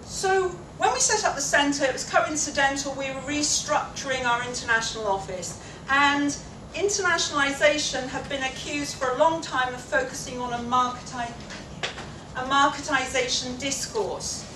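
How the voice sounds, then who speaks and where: Woman giving a keynote in an echoey hall. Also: high voice. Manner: measured, explanatory, with occasional fillers. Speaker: keynote speaker. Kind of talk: conference keynote speech. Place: large lecture room with windows. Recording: echoey room, distant mic, noisy.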